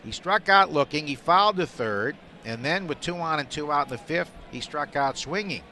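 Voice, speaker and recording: A man's voice: fairly high pitch, normal speed. A man calling a baseball game live on the radio as the play-by-play announcer, from a booth at the ballpark. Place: broadcast booth at an outdoor ballpark. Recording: steady background noise of ballpark. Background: stadium ambience.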